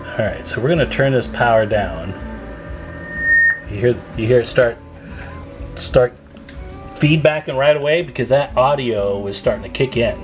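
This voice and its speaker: A man's voice: mid-range voice, normal speed, pauses often, loud music behind the voice, rough recording. Casual American man demonstrating radio gear. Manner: folksy, addresses viewers as guys and folks. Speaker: radio repair hobbyist and video host. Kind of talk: casual hands-on demonstration vlog.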